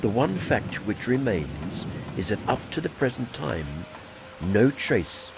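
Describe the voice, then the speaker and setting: A man narrating a literary horror story in a formal, measured, dramatic reading style. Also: medium pitch, very animated, vocal fry, background noise. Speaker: audiobook narrator.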